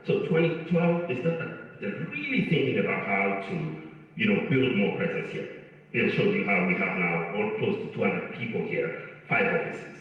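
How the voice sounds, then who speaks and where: A man's voice: on the low side, very animated. Earnest man, echoey hall from afar. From Rwanda. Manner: earnest, halting with many restarts and fillers. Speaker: foundation leader, panel guest. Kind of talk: panel discussion answer. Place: large convention hall. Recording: echoey hall, far from the mic, dull sound.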